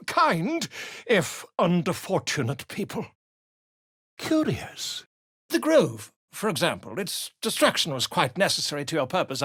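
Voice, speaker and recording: Video game role: a fussy, pompous chronicler. Theatrical and wordy, with a husky voice and lively pitch. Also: male voice, no room sound, studio quality, full character voice. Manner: theatrical, florid, wordy and wheedling. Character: pompous, flamboyant male author and chronicler, inquisitive and fussy.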